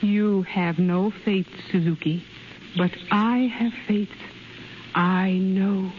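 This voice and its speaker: An actress in a 1930s radio drama playing a faithful, hopeful young wife, speaking earnest broken English. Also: female voice, medium pitch, steady tone, slow, lightly acted. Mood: tender faith. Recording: muffled hissy 1930s radio broadcast.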